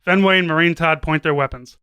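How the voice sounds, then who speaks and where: A male podcast narrator reading a comic script. His voice is high, bright and clean, with very little room sound around it. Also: a little fast, smooth, no echo, studio quality.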